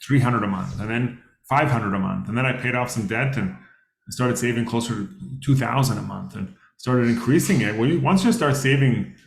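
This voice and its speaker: A man's voice: mid-range voice, moderate pace, vocal fry, a little hiss or hum. Man in his thirties presenting in echoey room. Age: 30s. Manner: conversational, many fillers, asks the audience questions. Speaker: financial workshop presenter. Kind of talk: casual workshop lecture. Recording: roomy echo.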